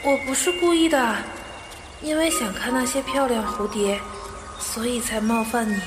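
A woman playing a brave, gentle, innocent little boy prince in a Chinese audio drama. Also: fairly high pitch, moderate pace, music in the background, close to an everyday voice. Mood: wondering, dreamy.

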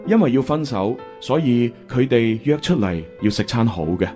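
Guy narrating a radio drama, storytelling style, mid pitch, guitar music behind him. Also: expressive, moderate pace. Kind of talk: scripted storytelling narration in Cantonese. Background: music with plucked guitar.

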